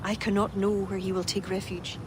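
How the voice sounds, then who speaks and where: A woman's voice: mid-range voice, flat delivery, lightly acted. Actress as a solemn, regretful druid woman. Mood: wistful confession. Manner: earnest, measured, lyrical phrasing. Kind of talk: dramatic video game acting. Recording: clear outdoor ambient noise behind voice.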